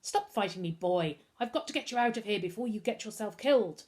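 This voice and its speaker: A woman's voice: mid-range voice, lively intonation, fairly quick, darkish tone, lightly acted. A woman voicing a stern, urgent male butler in a storybook, with a French accent and a formal, commanding manner. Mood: urgent, hushed. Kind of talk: storybook character voice.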